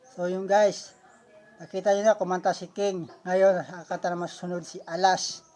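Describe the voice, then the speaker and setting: A woman's voice: on the low side, flat delivery, moderate pace, warm tone. A woman with a Filipino accent hosting a puppet show vlog, chatting casually to viewers. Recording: dull lo-fi audio.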